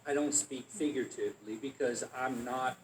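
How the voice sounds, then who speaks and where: An adult man as guest speaker, answering questions and telling relaxed anecdotes in a conversational Q&A. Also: medium pitch, moderate pace. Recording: echoey room, faint noise.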